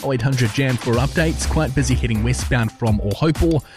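A man giving a brisk, matter-of-fact radio traffic update. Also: medium pitch, music in the background. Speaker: traffic reporter.

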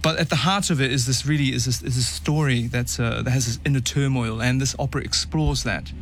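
A man who grew up speaking Tongan, an opera tenor being interviewed, chatting in a relaxed way and laughing easily. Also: mid-range voice, a little fast. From New Zealand, Tongan family. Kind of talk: casual interview chat.

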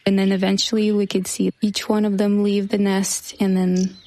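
A woman's voice: medium pitch, little pitch movement, moderate pace, mellow. A woman from Ukraine, a wildlife conservationist, fondly telling a childhood memory. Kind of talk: personal storytelling interview.

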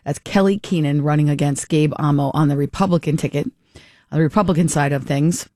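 A woman's voice: low-pitched, a little fast, darkish tone, no echo. A woman hosting a radio interview, chatty and a bit rambling. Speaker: radio host.

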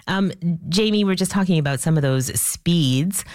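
A Canadian woman hosts a radio call-in show in a close, dry recording. Her voice is lowered by a cold, and she speaks conversationally, straight to the listeners. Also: normal speed, a little mellow, dry recording. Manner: conversational, addresses listeners directly. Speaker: radio phone-in host. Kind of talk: hosting a live call-in discussion.

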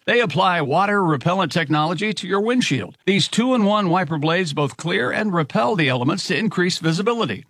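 A man reads a radio commercial in a smooth, promotional way. His voice sits high. The booth sound is dry. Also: a bit bright, no echo. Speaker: commercial announcer. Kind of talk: radio ad read.

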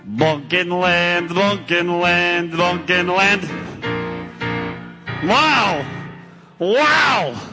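An actor playing a big hairy villain rat in a live children's stage show, husky, theatrical and playing to the audience. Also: male voice, sits high, sharp tone, exaggerated voice. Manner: blustering, theatrical, plays to the audience. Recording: echoey hall.

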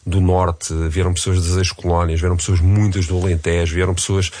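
A man's voice: low voice, flat delivery, a little fast, a little mellow, no echo. A man from Brandoa with a European Portuguese accent, a guest in an interview who reflects at length, wandering between thoughts and laughing lightly now and then. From Portugal, Brandoa in Amadora. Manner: discursive, musing, with light laughter. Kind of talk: interview answers.

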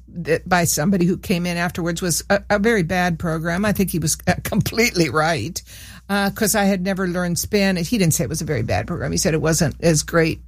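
A woman's voice: on the low side, no room sound. Older woman from Peru, guest on a radio talk show. Chatty, laughs easily, restarts sentences. Close, dry studio mic. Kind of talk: casual reminiscing chat.

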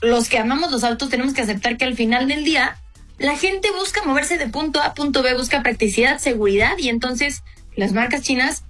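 A woman's voice: high voice, brisk, a bit bright, faint music behind. Chatty woman talking cars. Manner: talkative, thinks aloud with frequent fillers and restarts. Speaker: car reviewer and co-host. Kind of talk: casual conversation on a car talk show.

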